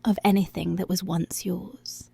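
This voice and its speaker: A woman acts out a dominant policewoman in ASMR roleplay, very slow and controlling. Her voice is dark and husky, often sinking into a breathy whisper. Every command is deliberate and seductive, recorded close and intimate. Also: fairly low pitch, level tone, no echo, heavily acted. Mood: commanding, menacing. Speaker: ASMR voice actor.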